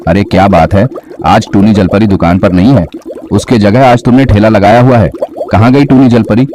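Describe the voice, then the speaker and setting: A man's voice: on the low side, moderate pace, warm tone, close to an everyday voice. A man playing a kindly, appreciative regular customer in a cartoon story. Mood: curious. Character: kindly adult male biryani customer, generous, appreciative. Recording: clear background noise.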